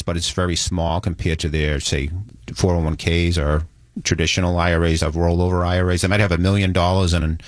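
Deep-voiced man advising on retirement money. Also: dry recording. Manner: halting, many restarts and fillers. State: losing his voice. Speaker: financial advisor. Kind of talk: casual radio chat.

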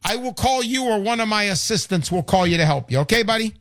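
A man in his sixties hosting a radio call-in show, pitching live in a fervent, emphatic way, often repeating himself, folksy and boastful. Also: lively intonation, quick pace, a bit bright, a little hiss or hum. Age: 60s. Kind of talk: live radio sales talk.

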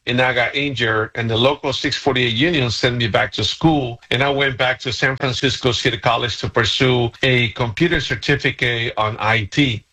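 A man's voice: mid-range voice, fairly even intonation, moderate pace, few pauses, sharp tone, slightly rough. A man in his forties from Guatemala, a nonprofit CEO, explaining his work earnestly and steadily as a radio guest. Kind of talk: radio interview.

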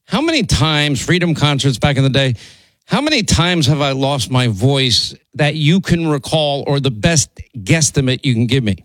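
A middle-aged radio host with a hoarse, strained voice, talking in a long rambling monologue. He circles back and repeats words but keeps pushing on. The studio sound is close and dry. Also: mid-range voice, lively intonation, normal speed, no room sound. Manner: rambling, repeats himself, pushes on. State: hoarse from laryngitis. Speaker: radio talk show host.

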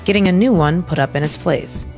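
Lowish-voiced woman podcast host. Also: a little fast, a little mellow, soft background music. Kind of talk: scripted podcast promo.

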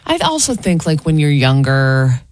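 A woman co-hosting a radio show, chiming in with quick, animated remarks. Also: low voice, dry recording. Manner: animated, quick agreeing interjections. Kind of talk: casual radio banter. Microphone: studio microphone.

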